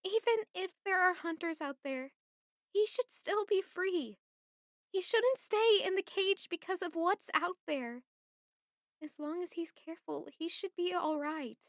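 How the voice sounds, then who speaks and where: A woman's voice: very high-pitched, measured pace, dry recording, studio quality. A woman playing an earnest, wounded young noblewoman with an emotive delivery in an audio drama.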